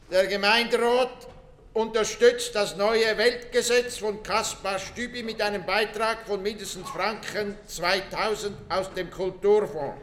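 An actor playing a stubborn, idealistic older farmer in a radio play, speaking earnestly and formally with a Swiss German accent. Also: male voice, sits high, fairly bright tone, a little hiss or hum. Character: stubborn, idealistic male farmer with grand plans.

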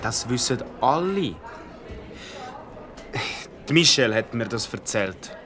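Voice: medium pitch, lively intonation, takes it slowly, a bit bright, soft background music. A performer with a Swiss German accent playing a nosy, tactless schoolmate in radio play dialogue. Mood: awkward.

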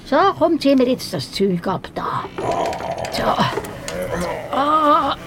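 An old Swiss mountain woman fusses and coaxes in a radio play, eerily cheerful and mothering. Her voice is rather high and slow, swinging widely in pitch. Clear ambient noise sits behind her. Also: lively intonation. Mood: fussing, playful. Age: older. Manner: fussing, muttering little interjections, coaxing.

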